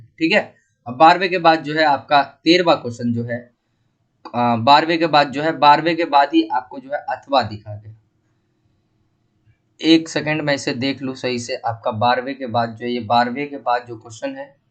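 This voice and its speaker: Male tutor, sore throat, slightly high voice, steady filler-heavy exam walkthrough. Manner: steady explaining with frequent fillers. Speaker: teacher explaining exam paper. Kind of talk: exam paper walkthrough lesson.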